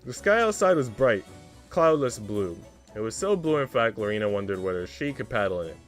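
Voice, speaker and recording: A man's voice: on the low side, sing-song, brisk. A man reading the narration of a visual novel aloud on a livestream, in a storytelling style. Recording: music playing behind the voice. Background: background music.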